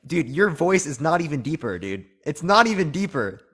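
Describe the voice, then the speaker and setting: A man's voice: fairly high pitch, very animated, moderate pace, a bit bright, husky. A man hosting a live-stream debate, probing and sarcastic, pressing his guest with questions.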